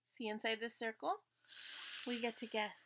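Bright, high-voiced woman reading to children. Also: steady tone, moderate pace, poor audio. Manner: prompting with questions and wondering aloud. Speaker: teacher reading aloud to children. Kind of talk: interactive picture-book read-aloud for children. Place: indoors in front of a dark curtain.

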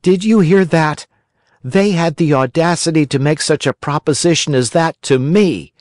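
A man voicing a mischievous wooden marionette boy with a high, boyish, eager delivery in a storybook reading. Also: normal speed, a little mellow, no room sound, studio quality, close to an everyday voice. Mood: indignant.

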